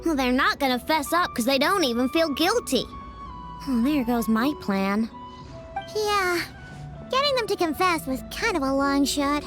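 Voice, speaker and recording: A woman's voice: sits high, big pitch swings, measured pace. A woman voicing a brash, hot-tempered young girl inventor in a video game cutscene, with a childlike voice. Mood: grumbling. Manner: brash, outspoken. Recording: music behind the voice.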